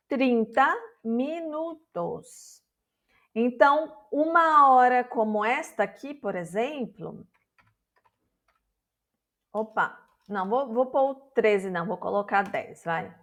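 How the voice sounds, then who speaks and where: A woman with a Brazilian accent talks her viewers through a language lesson. Her voice sits slightly high, and she explains patiently, checking in often to make sure they follow. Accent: Brazilian Portuguese. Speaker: Portuguese teacher.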